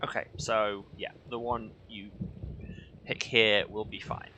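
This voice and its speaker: A man's voice: lowish voice, flat delivery, slow, pushed and bright, slight background noise. A man giving casual, wry, conversational commentary as host of a video game playthrough. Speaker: let's play host.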